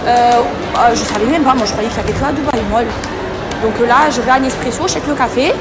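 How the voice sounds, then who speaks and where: Chatty high-voiced woman vlogging. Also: a loud crowd. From France. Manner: chatty, switching to halting broken English. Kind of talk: casual vlog in shops. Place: busy indoor shops.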